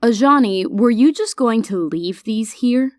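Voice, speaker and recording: A woman's voice: on the high side, animated, a little slow, dry recording, clean studio sound. A woman voicing a doting, scolding old grandmother in an audio drama, with a fussing, affectionate manner.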